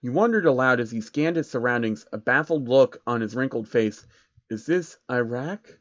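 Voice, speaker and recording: Male story narrator, medium pitch, scripted comic reading, dry and slightly muffled sound. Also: brisk, no room sound.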